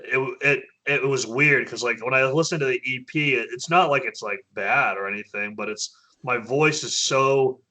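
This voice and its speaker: Bright-voiced man in casual chat. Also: normal speed, hardly any pauses. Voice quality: naturally low voice. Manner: hesitant, many restarts and fillers. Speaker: vocalist of a metal band, interview guest.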